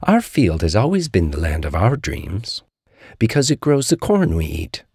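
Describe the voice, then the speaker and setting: A man's voice: fairly low pitch, huge pitch swings, normal speed, a little mellow, breathy edge, dry recording, clean studio sound, heavily acted. A man voicing a kind, homely hare who is welcoming and given to fond exclamations. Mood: dreamy.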